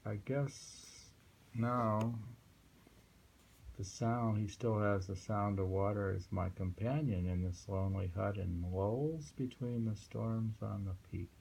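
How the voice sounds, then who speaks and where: A man with a low, creaky voice reads verse and muses on it informally. He speaks very slowly and haltingly, with pauses to think. Faint noise sits behind him. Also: steady tone, a little mellow, rough recording. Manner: halting, musing. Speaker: poetry reader and commentator. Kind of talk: reading poems aloud with informal commentary.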